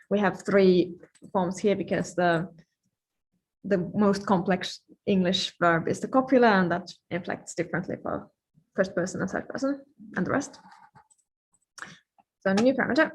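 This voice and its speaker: A woman's voice: mid-range voice, poor audio. Finnish woman lecturing informally, thinking aloud. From Finland. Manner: informal, playful asides, often pausing mid-thought.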